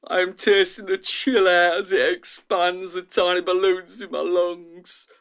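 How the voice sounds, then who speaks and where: A man playing an anxious, overwhelmed test subject in a radio drama, halting and intense. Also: high voice, creaky, a bit bright, clean tone, heavily acted. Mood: haunted, entranced.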